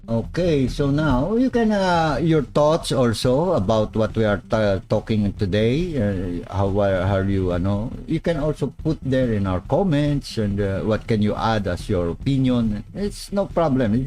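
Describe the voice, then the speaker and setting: A man's voice: medium pitch, animated, mellow, faint music behind. Rambling Filipino man on a livestream. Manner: rambling, many filler pauses. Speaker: livestream host. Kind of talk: casual livestream commentary.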